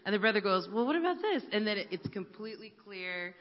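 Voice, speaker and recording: A woman's voice: mid-range voice, big pitch swings, fast talker. A woman confidently sharing her view in a group, with light laughs. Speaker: church group member. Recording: echoey hall, dull sound.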